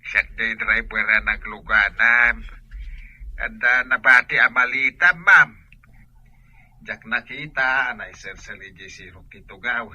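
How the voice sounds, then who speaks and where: A man's voice: medium pitch, a little slow, sharp tone. A man with an Ilocano accent playing a polite, honest driver through a telephone filter in a radio drama. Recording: muffled, band-limited phone line.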